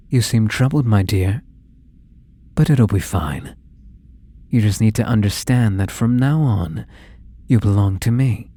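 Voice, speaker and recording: Man acting a low, husky, possessive stalker. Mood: cold, possessive. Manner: soft, coaxing, menacing, slow and deliberate. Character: obsessive, possessive young male supermarket stalker, falsely tender. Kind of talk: intimate ASMR audio roleplay.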